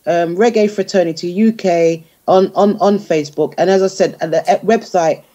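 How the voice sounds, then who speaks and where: A woman with a dark, mellow voice talks informally as a guest on a radio show. She is halting, repeating words as she explains things casually. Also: lowish voice, lo-fi sound. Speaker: guest, music group organiser. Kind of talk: casual radio interview talk.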